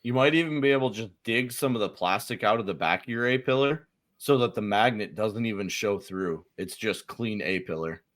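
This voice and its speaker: A man's voice: on the low side, lively intonation, a little fast, fairly bright tone. A man hosting a podcast in casual, conversational talk. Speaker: podcast host. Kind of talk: casual chat.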